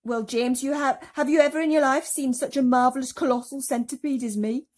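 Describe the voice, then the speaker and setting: A woman's voice: sits high, fairly even intonation, a little fast, darkish tone, pitched above the performer's own voice, close to an everyday voice. A woman voicing a boastful, gleeful male centipede rascal in a storybook reading.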